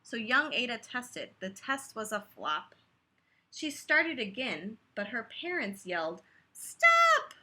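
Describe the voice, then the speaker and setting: A woman reads a children's story aloud with a lively, swooping delivery. Her voice is fairly high and bright, with a slightly husky edge. She moves easily between rhythmic verse and chatty asides to young listeners. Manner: animated, pauses to comment and ask listeners questions. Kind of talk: expressive picture-book read-aloud.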